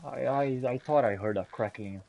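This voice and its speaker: A man's voice: on the low side, normal speed, creaky, lo-fi sound. A man playing a video game in character, talking to companions in old-fashioned phrasing. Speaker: gamer role-playing his character. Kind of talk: in-character game roleplay commentary.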